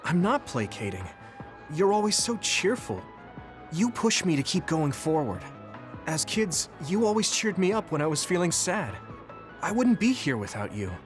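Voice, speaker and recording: Video game hero: teenage boy soldier, sincere and supportive, animated intonation, over background music. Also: sits high, moderate pace. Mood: earnest, grateful. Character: earnest, supportive teenage boy soldier, self-assured and kind. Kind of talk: English video game voice acting.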